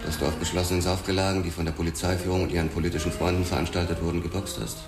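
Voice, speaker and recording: Male actor as terse ex-boxer cop, crime radio drama, very deep voice, sober storytelling, music underneath. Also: little pitch movement, rapid-fire, lightly acted. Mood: guarded, dry. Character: laconic male ex-boxer cop narrating his past. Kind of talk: scripted first-person crime radio drama narration.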